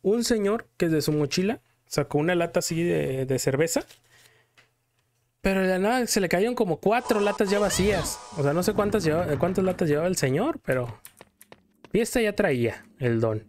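Mexican man chatting casually, higher-pitched voice. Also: lively intonation, normal speed, darkish tone. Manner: colloquial, acts out quotes in passing. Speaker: gameplay video host. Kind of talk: casual chatty storytelling over gameplay.

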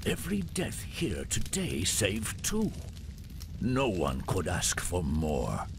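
An actor playing a grave, loyal warrior retainer in a video game, with a gravelly voice. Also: male voice, low voice, wildly swooping pitch, slow pace, noisy background, full character voice. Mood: solemn. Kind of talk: dramatic game cutscene acting.

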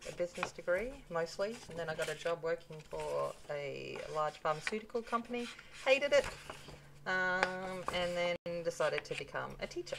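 A woman's voice: fairly low pitch, background noise. A middle-aged woman from North Queensland with a broad Australian accent, chatting casually and self-deprecatingly while crafting at her desk. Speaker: papercraft channel host. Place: home craft desk, indoors. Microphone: tabletop mic, overhead camera on hands.

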